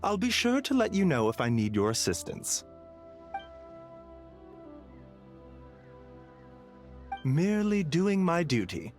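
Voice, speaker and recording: Man as courteous, composed physician with lively intonation. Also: medium pitch, lightly acted. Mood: modest. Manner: polite, formal, careful phrasing. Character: courteous, composed male physician, measured and modest. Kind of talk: English game voice acting.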